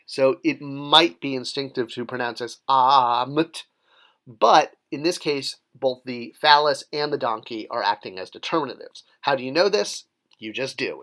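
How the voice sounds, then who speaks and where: A man's voice: a little high, a bit bright. A man teaching how to read and draw hieroglyphs in a casual tutorial, explaining step by step with friendly, self-deprecating asides. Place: voice-over for an overhead video of paper drawings.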